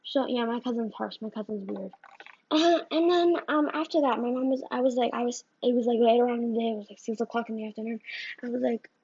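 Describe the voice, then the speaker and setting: A woman's voice: fairly high pitch, fast, darkish tone, breathy edge. A woman vlogger ranting to the camera in a rambling way, often tacking on "right?" and "you know?", recorded on a phone. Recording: slightly muffled phone recording.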